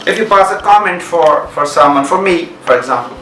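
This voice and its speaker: A man's voice: a little high, animated, a little fast, bright, forceful tone, roomy sound, recorded from a distance, soft background music, poor audio. A man with a Pakistani English accent teaching a grammar lesson, repeating points and checking understanding with questions. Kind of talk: classroom grammar lecture.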